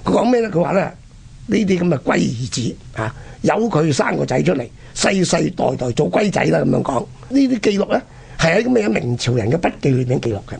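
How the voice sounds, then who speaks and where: Male radio host, very raspy, creaky, Hong Kong Cantonese, casual emphatic storytelling. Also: fairly high pitch, sing-song, brisk, a little mellow. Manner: colloquial, emphatic, with rhetorical questions. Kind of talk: casual spoken history storytelling.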